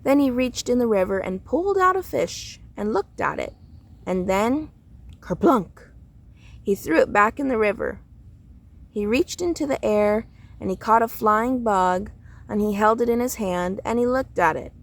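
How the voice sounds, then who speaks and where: A woman reading a children's story aloud in a deliberate, expressive storytelling way. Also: fairly high pitch. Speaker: storybook reader.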